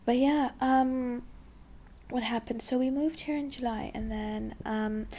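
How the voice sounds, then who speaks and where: A woman's voice: on the high side, steady tone, unhurried, mellow, a little hiss or hum. A young woman from Dubai, talking casually in an interview, full of likes and you-knows. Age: young adult.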